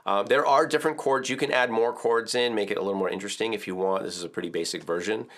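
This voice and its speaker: A middle-aged man giving a relaxed ukulele lesson to camera in an indoor studio, chatty and full of filler words. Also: lowish voice, fast talker. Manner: chatty, explains with filler words and counts aloud. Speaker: ukulele teacher. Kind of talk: relaxed video tutorial.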